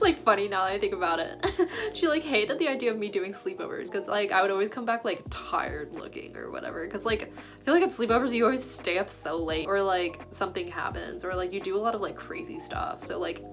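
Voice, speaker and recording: A young woman in her twenties tells casual stories straight to camera, sprinkling "like" through every sentence and breaking into small laughs. Her voice sits at a middle pitch for a woman. Clear background music plays beneath her the whole time. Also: a bit bright. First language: Chinese. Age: 20s. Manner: chatty, heavy use of "like", giggly asides. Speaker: vlogger. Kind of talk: casual chat.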